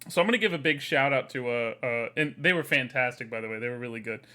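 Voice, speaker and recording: Co-host, young man, twenties; bright forceful voice, loose crude banter, quick to laugh. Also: mid-range voice. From Florida. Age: 20s. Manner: laughs often, crude and joking. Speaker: podcast co-host. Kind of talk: casual podcast banter.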